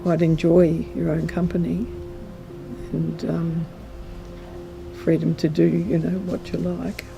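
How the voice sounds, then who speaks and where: A woman's voice: low voice, completely flat delivery, slow pace, gravelly, over music, low-quality recording. An older woman giving a reflective, hesitant personal account in an interview.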